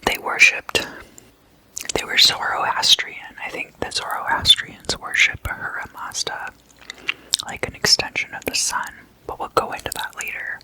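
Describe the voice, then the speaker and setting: Slow, bright whispering ASMR host. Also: poor audio. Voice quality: breathy unvoiced whisper. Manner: casual, halting explanation in short phrases. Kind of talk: whispered ASMR, informal explainer.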